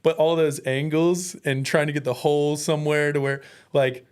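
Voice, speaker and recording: A man's voice: fairly high pitch, steady tone, normal speed, clear, resonant tone. An American man talking as a guest in a casual, candid way, telling personal stories with earnest, self-deprecating, slangy speech. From United States.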